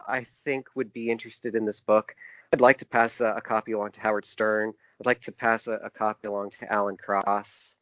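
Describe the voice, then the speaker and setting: A middle-aged man speaking casually as an interview guest, in a hesitant, halting, self-reflective way. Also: lowish voice, fairly bright tone, dry recording, dull audio. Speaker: podcast guest, author and small publisher.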